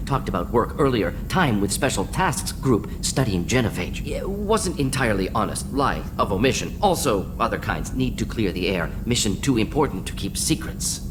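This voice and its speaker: An unapologetic, brainy alien scientist from a video game. His lowish voice swings in pitch through clipped sentences that drop small words, over noticeable background noise. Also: big pitch swings, normal speed. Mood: confessional, clinical.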